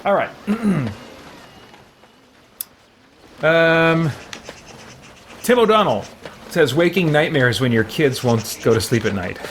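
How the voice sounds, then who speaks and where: A man's voice: on the high side, animated, measured pace, a bit bright, slight background noise. A middle-aged man doing casual gameplay commentary, thinking aloud in a hesitant, musing way. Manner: hesitant, musing, mutters to himself. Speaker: game streamer.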